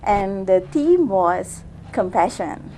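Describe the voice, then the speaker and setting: A woman's voice: mid-range voice, lively intonation, takes it slowly, warm tone, slight background noise. A middle-aged woman from Sri Lanka, a physician, talking through an interview in a casual way with many fillers and restarts. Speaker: physician guest.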